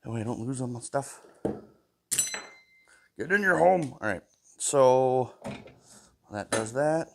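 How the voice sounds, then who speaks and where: A man with a stuffy nose talking to himself while he takes apart an old mower in his workshop, humming between tasks. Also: mid-range voice. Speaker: small engine repair vlogger. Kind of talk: casual talk while working.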